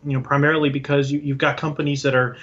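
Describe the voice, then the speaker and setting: Bright-voiced man, halting and hesitant. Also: on the high side, level tone. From Puerto Rico. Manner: hesitant, many fillers and restarts. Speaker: guest, IT business owner. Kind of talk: casual interview answers. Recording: dull lo-fi sound.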